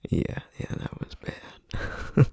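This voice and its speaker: A man playing a tired, tender sorcerer boyfriend and single dad with a Southern US accent, speaking in a hushed, husky, gentle and halting way for an intimate ASMR roleplay. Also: dry recording, clean studio sound. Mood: sheepish, playful.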